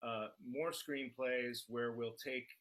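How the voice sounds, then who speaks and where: A middle-aged man, an interview guest, explaining in a measured, matter-of-fact conversational way. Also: mid-range voice, steady tone, a bit bright.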